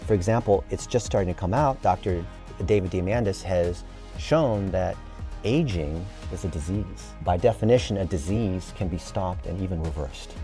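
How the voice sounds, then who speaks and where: Man, early fifties, low pitch, relaxed mellow tone, enthusiastic explainer, earnest and emphatic, conversational. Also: moderate pace, soft background music. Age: early 50s.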